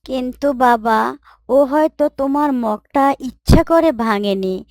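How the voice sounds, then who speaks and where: A kind, pious mother in a moral cartoon, voiced by a woman. Her voice is fairly high and closely recorded, and she corrects and teaches gently. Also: takes it slowly, no room sound, clean studio sound. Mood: earnest, instructive. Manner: gently admonishing, instructive. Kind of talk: Islamic moral cartoon voice acting.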